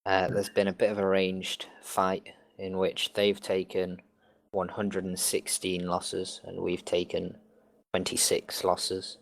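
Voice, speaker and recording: A man playing a tabletop game over voice chat, calmly laying out tactics at length. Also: low-pitched, takes it slowly, vocal fry. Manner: laid-back, explains tactics at length. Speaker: player.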